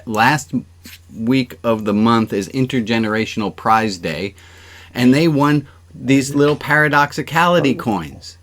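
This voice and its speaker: A man's voice: medium pitch, unhurried, a bit bright. A man with a mid-range voice leads an informal religious gathering over a video call as its rabbi. He talks conversationally and keeps turning to the group with questions. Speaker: rabbi leading an online service. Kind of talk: informal interactive group service talk.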